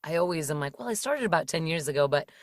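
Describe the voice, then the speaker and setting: A woman's voice: sits low, lively intonation, fast, no room sound, clean studio sound. A woman in her thirties from the Washington DC area, chatting casually as a podcast guest, with lots of fillers and frequent laughter. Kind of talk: casual interview chat.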